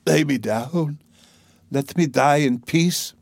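A dying mother in a classic novel, acted by a male narrator, pitched well up from his own voice. She sounds faint and gasping, bitter and delirious, rambling fretfully and dramatically in a dry recording. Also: slow pace, gravelly, no echo, full character voice. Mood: weary, resigned.

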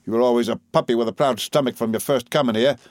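A man's voice: mid-range voice, fairly even intonation, fast talker, dry recording, clean studio sound, voice pushed up, close to an everyday voice. A man voicing a spiteful, scheming clerk in an audiobook, sneering and threatening with false familiarity. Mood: menacing.

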